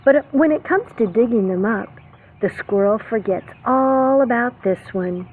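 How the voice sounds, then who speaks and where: A woman preschool teacher reading a picture book aloud to young children, expressive, with simple explanations and questions. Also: on the high side, unhurried. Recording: phone-like narrow band, faint background noise.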